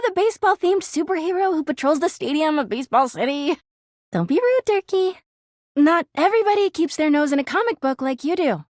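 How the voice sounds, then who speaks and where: Jealous talking laundry hamper, female, video game character, high-pitched, wildly animated intonation, sugary then bitter, clingy and melodramatic. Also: dry recording, studio quality, exaggerated voice. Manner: gushing sweetness flipping into bitter, dramatic outbursts.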